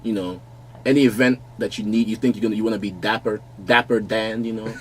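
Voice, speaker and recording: A young Nigerian-born man talks as a guest in a small podcast studio. His voice sits on the low side and his speech is easygoing, full of small restarts. A faint noise floor runs under the studio mic. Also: rough recording. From London, born in Nigeria. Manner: relaxed, halting, many restarts, laughs easily. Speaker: guest fashion designer. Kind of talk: casual podcast chat. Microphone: studio mic on arm, wearing headphones.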